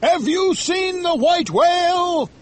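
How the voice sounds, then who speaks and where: A man voicing a fierce, obsessed sea captain in a storybook, declaiming defiantly in loud, drawn-out cries. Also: extremely high pitch, animated, slight background noise, voice pushed up, full character voice. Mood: urgent, demanding. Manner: shouting, drawn-out declamatory delivery. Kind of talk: dramatized storybook narration.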